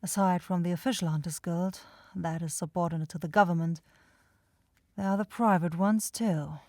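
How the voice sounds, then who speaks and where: Low, teasing vampire girl, acted by a woman. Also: fairly even intonation, warm tone, natural voice. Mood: grave, wry. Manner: intimate, slow, coaxing, taunting. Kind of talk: ASMR roleplay acting. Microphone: close microphone, dry studio sound.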